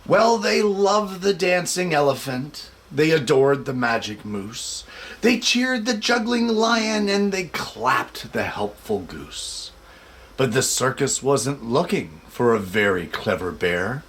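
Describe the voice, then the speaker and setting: A man hosting a show for families and reading a children's picture book aloud in an expressive, measured way. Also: mid-range voice. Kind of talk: casual introduction, then expressive storybook read-aloud.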